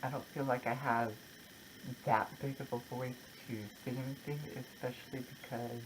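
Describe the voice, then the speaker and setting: A content creator talking casually and personally, in a halting, reflective way with short broken phrases. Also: mid-range voice, level tone, background noise. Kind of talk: casual personal vlog, opinion talk to camera.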